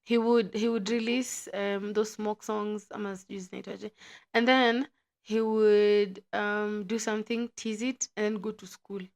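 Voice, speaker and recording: A woman's voice: mid-range voice, little pitch movement, a little slow. Chatty woman podcast host. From Kenya. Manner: chatty, laughs often, explains with lots of "like". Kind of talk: casual chat.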